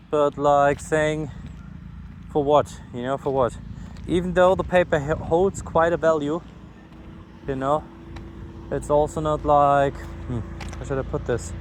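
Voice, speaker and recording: Higher-voiced German-accented man, rambling casually outdoors. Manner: frank, swearing, self-deprecating. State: sleep-deprived. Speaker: vlogger. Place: outdoors, walking along a street. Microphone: phone recording while walking. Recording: outdoor street and traffic noise. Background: ambient outdoor noise with passing vehicles.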